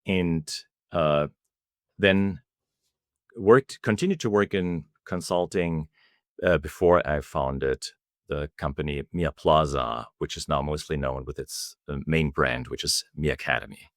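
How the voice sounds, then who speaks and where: A middle-aged man with a German accent, speaking in an unusually deep, low register. He answers interview questions in a measured, explanatory way. The recording is close and dry, with no room sound. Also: dry recording. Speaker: guest, company founder.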